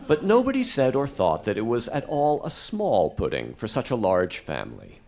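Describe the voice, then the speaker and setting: A man's voice: medium pitch, huge pitch swings, normal speed, gravelly, background noise. A man narrating a radio drama as a scripted storyteller, with music underneath.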